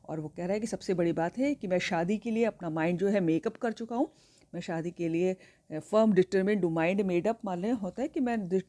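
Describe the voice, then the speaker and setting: Mellow-voiced Indian woman teacher. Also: mid-range voice. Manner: explains line by line, addresses students directly. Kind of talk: classroom explanation of a play.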